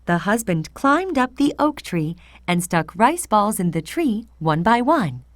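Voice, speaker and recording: Slow, animated woman storyteller. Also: mid-range voice, no echo, studio quality. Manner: expressive, deliberate read-aloud. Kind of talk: scripted fairy tale narration for children.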